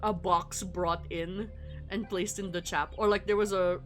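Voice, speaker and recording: A woman's voice: fairly low pitch, fairly even intonation, unhurried, a bit bright. A woman livestreaming a visual novel, chatting casually in a wry, rambling way and reading game text aloud. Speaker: streamer playing a visual novel. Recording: music behind the voice. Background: music.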